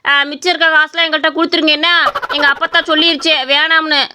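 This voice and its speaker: A woman voicing a cheeky, scheming village boy in an animated comedy, with wheedling rural Tamil Nadu speech. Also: high-pitched, quick pace, a little hiss or hum. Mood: wheedling, sly. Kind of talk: animated comedy story voice acting.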